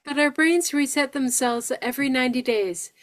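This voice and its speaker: A woman from New York State who has aphasia, speaking slowly and deliberately with careful pronunciation as she shares her experience with a support group. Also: sits high.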